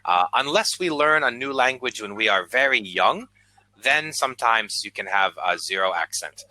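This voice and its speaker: An American man, an online English tutor, chatting patiently on a video call and speaking slowly and clearly. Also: medium pitch, animated, pushed and bright, no echo, rough recording. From United States. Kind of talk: casual conversation lesson.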